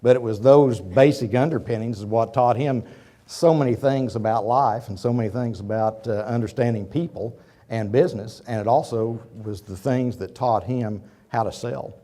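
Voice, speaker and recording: A man's voice: mid-range voice, moderate pace, warm tone. A man in his fifties from Oklahoma, losing his voice to a cold, giving a rambling, conversational lecture in a university auditorium with frequent asides to the audience. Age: 50s. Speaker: guest lecturer, businessman. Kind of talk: folksy public lecture.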